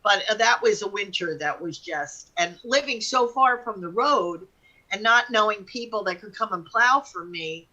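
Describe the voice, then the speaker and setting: A middle-aged woman chatting casually and telling personal stories in an animated, colloquial, exclamatory way. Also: medium pitch, normal speed, highs cut off. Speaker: talk show participant.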